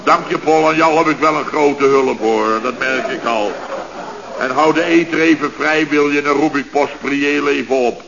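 A man's voice: fairly high pitch, fairly even intonation, moderate pace, gravelly. An actor playing a bumbling, self-important male contractor boss in a radio comedy, stammering and repeating himself as he gives orders. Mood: bossy, flustered. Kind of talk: 1970s Dutch radio comedy serial acting. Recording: dull, noisy vintage radio recording.

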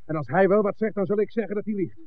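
A man's voice: high-pitched, lightly acted. An actor playing a cowardly smuggler henchman in a radio drama, nervously pleading to save himself. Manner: hurried, pleading, self-protective. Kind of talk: 1960s Dutch radio play acting. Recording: muffled, hissy 1960s radio recording.

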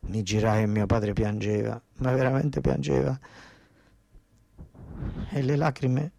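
A man tells a story as a theatre monologue in a southern Italian accent. His voice sits at a medium pitch in a dry recording. He speaks colloquially with dialect turns, repeating phrases and leaving dramatic pauses. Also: little pitch movement, gravelly, warm tone, no echo. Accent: southern Italian, Basilicata. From southern Italy. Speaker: stage storyteller and monologue actor. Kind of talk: theatrical first-person narrative monologue.

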